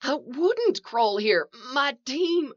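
A woman's voice: medium pitch, big pitch swings, moderate pace, bright, forceful tone. Woman as trapped male caver, anxious, strained, insistent, shaky, story narration, echoey and slightly muffled recording. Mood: bewildered.

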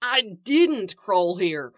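A woman's voice: mid-range voice, sing-song, moderate pace, pushed and bright. A woman voicing a frightened, trapped male caver in an audio story, with a shaky, strained, pleading delivery. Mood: bewildered. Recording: echoey, slightly dull home recording.

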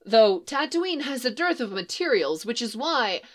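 A woman's voice: on the high side, voice pushed up. A woman doing a rambling nervous scientist. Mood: eager. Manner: rushed, excited explaining. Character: nervous male scientist, talkative and eager.

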